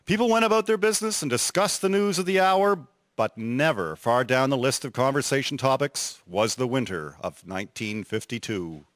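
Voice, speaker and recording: A man's voice: medium pitch, moderate pace, fairly bright tone, no echo, clean studio sound. A man narrating a scripted historical story as a storyteller.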